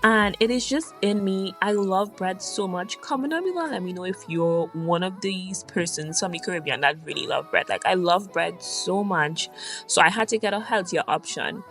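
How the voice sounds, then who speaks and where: A woman's voice: lowish voice, moderate pace, a bit bright, soft background music. A woman with a Trinidadian accent, chatting casually as she narrates her day in a vlog, rambling from one topic to the next. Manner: chatty, digressive and upbeat. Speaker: vlogger.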